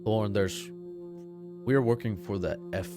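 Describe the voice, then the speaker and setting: A guarded, self-important academic agent, played by a man in a tabletop game. He speaks in a fairly low voice, firm and condescending, over clear music. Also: slow pace.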